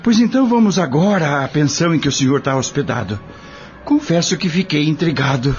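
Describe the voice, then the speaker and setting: A man playing an insistent, plain-spoken farm labourer with a husky voice in a Brazilian audio drama. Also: on the high side, lively intonation, a little fast, a little mellow. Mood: intrigued, impatient. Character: curious, impatient male farm labourer with a grievance. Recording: dull lo-fi audio drama with music.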